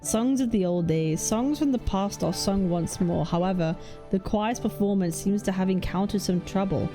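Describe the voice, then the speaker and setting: A woman's voice: mid-range voice, moderate pace, mellow. A woman game streamer reacting casually to a story and reading some of it aloud as she plays. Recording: game music behind the voice.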